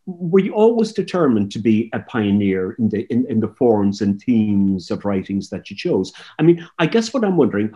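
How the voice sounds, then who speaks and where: A man's voice: fairly low pitch, big pitch swings, moderate pace, few pauses, warm tone, lo-fi sound. A man in his sixties interviewing an author over a video call from home, asking long, searching questions and repeating words as he goes. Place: home room with pictures on the wall, indoors. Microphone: laptop webcam on a video call.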